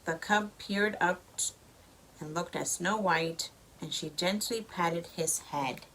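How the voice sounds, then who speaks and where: A woman reading a storybook to a young child as a mother, with expressive delivery and simple explanations. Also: fairly low pitch, slow, a little hiss or hum, rough recording.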